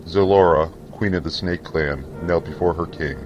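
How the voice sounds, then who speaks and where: Very deep solemn male fantasy narrator. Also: steady tone, normal speed, highs cut off, soft background music. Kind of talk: scripted dramatic fantasy narration.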